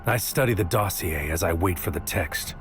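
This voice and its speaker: Audio drama lead: a world-weary operative, very low voice, terse poetic inner monologue over prominent music. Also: male voice, normal speed, a bit bright. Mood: brooding. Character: brooding, bitter male operative narrating his inner thoughts. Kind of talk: noir-like scripted audio drama narration.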